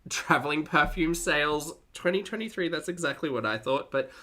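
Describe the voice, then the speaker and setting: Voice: mid-range voice, a little fast, bright, forceful tone. A streamer giving casual, wry live commentary to a chat, often chuckling and repeating phrases. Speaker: streamer reacting to videos and chatting with viewers.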